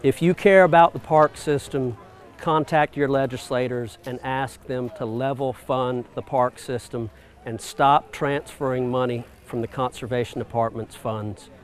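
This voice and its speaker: A man's voice: mid-range voice, fairly even intonation, unhurried. A man speaking as a parks director, making a public appeal. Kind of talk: public appeal in a sound bite.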